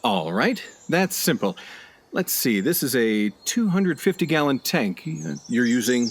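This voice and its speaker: An actor in a radio play voicing a breezy, self-assured man who bluffs expertise in a folksy, smooth-talking way. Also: mid-range voice, very animated, a little slow, background noise. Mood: folksy, cocky. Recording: faint outdoor bird and insect ambience.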